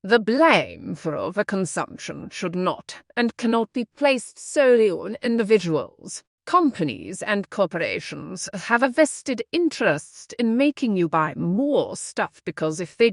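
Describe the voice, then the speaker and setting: A woman's voice: medium pitch, very animated, a little slow, gravelly, few pauses, no room sound, clean studio sound. A woman narrating scripted practice passages for English learners, read aloud.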